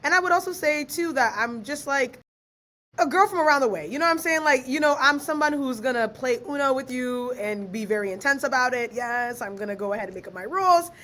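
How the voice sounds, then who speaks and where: Lively, slangy young woman guest. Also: high voice, fairly quick. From Ghana, raised in Maryland. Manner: lively, slangy, laughs easily. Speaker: guest, author and researcher. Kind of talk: casual podcast interview.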